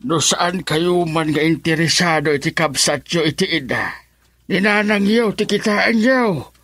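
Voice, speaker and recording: Elderly grandfather, high husky voice, stern, reproachful, dry close radio drama sound. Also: a little slow, a bit bright, dry recording. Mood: stern, urgent. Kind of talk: emotional radio drama acting.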